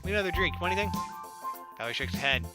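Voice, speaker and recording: A man's voice: high-pitched, expressive, racing. A man hosting a gaming video, reading a visual novel aloud and acting out the dialogue informally. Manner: informal, performs lines as he reads. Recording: game music playing behind the voice.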